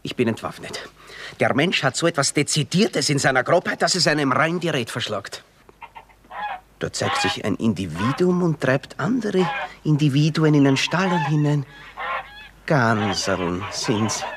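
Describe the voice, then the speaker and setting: Casting: witty, bitter young Austrian drifter, higher-pitched male voice, florid theatrical delivery with dry asides, radio comedy. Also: background noise. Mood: dry, musing. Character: witty, bitter red-haired young drifter, grandiloquent and sardonic. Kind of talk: classic Viennese stage comedy radio acting.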